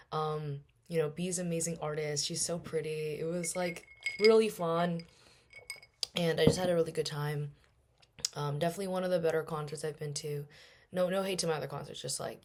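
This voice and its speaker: A woman in her twenties talks through a relaxed solo podcast, her voice hoarse and worn out. She sits low in her register and rambles from one point to the next, filling the gaps with easy little fillers. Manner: rambling, chatty, many fillers. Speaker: podcast host. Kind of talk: casual solo podcast chat.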